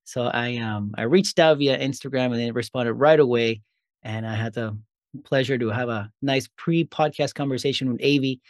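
A man's voice: medium pitch, dry recording, clean studio sound. A man hosting an interview podcast in a conversational style. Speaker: podcast host.

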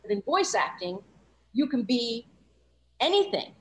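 A woman's voice: on the high side, big pitch swings, a little slow, a bit bright, low-quality recording. A woman voice-over coach speaking as an interview guest, candid and reflective, often adding "you know" as she talks. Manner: earnest, reflective, many "you know" asides.

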